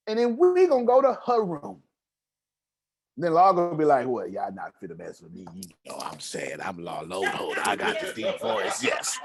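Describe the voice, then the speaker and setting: A man leading an online group call as a coach, talking informally and emphatically in a motivational style, often checking that listeners follow. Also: high voice. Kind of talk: animated motivational coaching talk.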